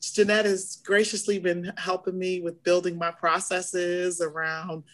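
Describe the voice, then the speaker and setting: A hoarse middle-aged woman co-hosting a podcast in casual chat, animated as she acts out her own past reactions. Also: on the low side, unhurried, slight background noise. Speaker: podcast co-host.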